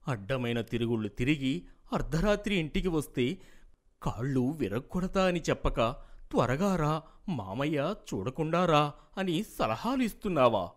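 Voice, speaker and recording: A man playing an angry, stern old father who scolds his family in an animated moral story. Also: on the high side. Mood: sarcastic scolding. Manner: scolding, indignant.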